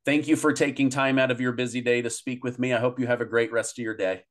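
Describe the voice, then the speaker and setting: A man's voice: mid-range voice, steady tone, quick pace. A man in his thirties hosting an interview over a video call, talking appreciatively with some hesitant restarts. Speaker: interview host. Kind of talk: casual interview wrap-up. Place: home office, indoors.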